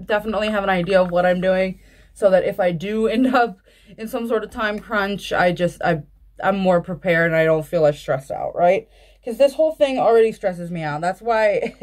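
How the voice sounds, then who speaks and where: A young adult woman vlogging at home, chatting casually and informally to the camera in a rambling way. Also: medium pitch. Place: small room at home, indoors.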